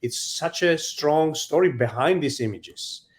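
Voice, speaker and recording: A man from Georgia with a Georgian accent speaking as a panelist, halting but emphatic, often repeating words as he goes. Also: on the high side, animated. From Georgia (country). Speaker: panelist, artist. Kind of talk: panel discussion, spontaneous talk.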